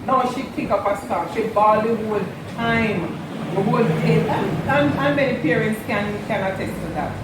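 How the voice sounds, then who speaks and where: Echoey far-off mother reminiscing. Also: on the high side. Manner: fond, unhurried, repeats for emphasis. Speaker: mother of the channel host, guest. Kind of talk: casual storytelling. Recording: echoey room, distant mic, noisy.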